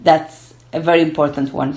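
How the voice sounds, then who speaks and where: A young woman from Argentina, Spanish her first language, answering interview questions in English with many pauses and fillers. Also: sits low, fairly even intonation. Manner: hesitant, many fillers and restarts. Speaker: guest, gerontology researcher. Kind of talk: casual interview.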